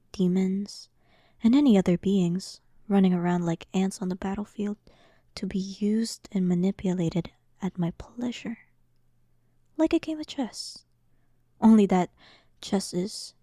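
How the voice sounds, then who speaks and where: A woman's voice: medium pitch, mellow, dry recording, studio quality. A woman voicing an arrogant, sarcastic demon queen in a roleplay audio drama, teasing and condescending, often dropping toward a whisper.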